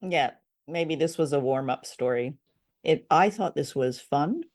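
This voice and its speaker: A woman's voice: sits low, animated, unhurried, darkish tone. A woman co-hosting a radio drama show, chatting casually with dry, understated humour.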